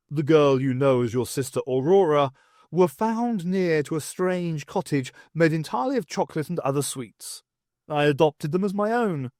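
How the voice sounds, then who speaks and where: A man doing a booming, jolly fairytale king. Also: no echo, clean studio sound. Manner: booming, genial, self-satisfied. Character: jovial pompous fairytale king, older man. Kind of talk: fan audiobook character voice.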